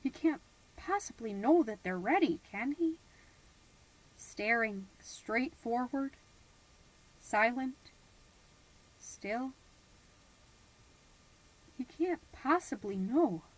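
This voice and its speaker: A woman's voice: a little high, expressive, takes it slowly, long pauses, low-quality recording. A woman playing a self-important, theatrical suburban mom who tells her story in a comedic scripted podcast monologue. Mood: hushed, ominous. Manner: theatrical, pompous, indignant. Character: melodramatic suburban mom, self-important party planner, easily exasperated. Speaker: podcast host character narrating.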